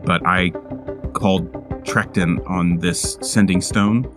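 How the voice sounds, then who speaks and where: A man with a very low voice improvises in character at a tabletop game. He speaks haltingly, searching for his words, over clearly audible music. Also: steady tone. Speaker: player in a tabletop roleplaying game. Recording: music playing behind the voice.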